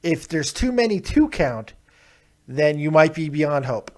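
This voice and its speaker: A man's voice: on the high side, big pitch swings, unhurried. Brash joking call-in show host, man. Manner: loud, teasing, bursts of laughter. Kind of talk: crude joking call-in chat.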